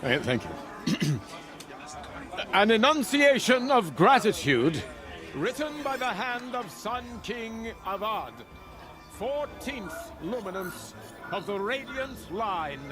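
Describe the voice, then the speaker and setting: High-pitched, pompous priest. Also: male voice, very animated, music in the background, full character voice. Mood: stiffly ceremonial. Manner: formal, declamatory, halting. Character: nervous, pompous male priest reading a royal proclamation. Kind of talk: video game dialogue acting.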